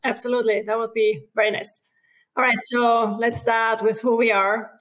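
A woman with an Austrian accent, a company co-founder telling her story in a relaxed interview. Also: fairly high pitch, normal speed, rough recording. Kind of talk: casual interview talk.

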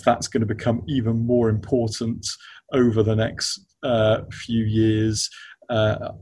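The male expert guest on a finance podcast, cautious and halting, keeping an even tone as he thinks aloud. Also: lowish voice. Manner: halting, repeats words as they search for phrasing. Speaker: interview guest, investment funds expert at a law firm. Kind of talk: unscripted business podcast interview.

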